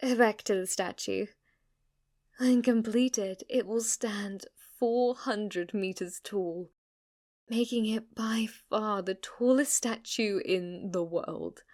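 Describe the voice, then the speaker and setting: A woman's voice: medium pitch, slow, a little mellow, close to an everyday voice. A woman playing a devoted, submissive demon maid in an ASMR roleplay, with a formal, deferential and adoring manner. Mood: deferential, composed. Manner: formal, deferential, breathless adoration, sometimes whispering. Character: devoted, submissive, obsessively worshipful female demon maid servant.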